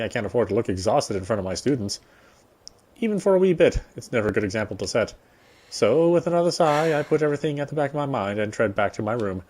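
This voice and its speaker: A man's voice: mid-range voice, a little mellow. A man reading visual novel narration aloud during a playthrough, sometimes stumbling and rereading a phrase. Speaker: gamer reading story text in a playthrough.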